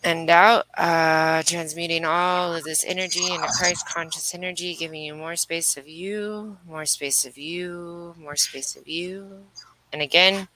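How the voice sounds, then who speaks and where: Bright-voiced woman leading an energy healing session. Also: on the low side, fairly even intonation, a little slow, a little hiss or hum, poor audio. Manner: repetitive, incantatory phrasing. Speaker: spiritual medium and energy healer leading a livestream session.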